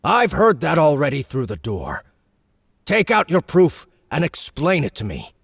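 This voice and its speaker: A man voicing a huge, shrewd male baron who speaks slowly and commands the room, in a dry close recording. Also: a little high, huge pitch swings, gravelly, fairly bright tone, slightly rough, no room sound, voice pushed up, exaggerated voice. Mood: commanding. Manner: slow, measured and domineering.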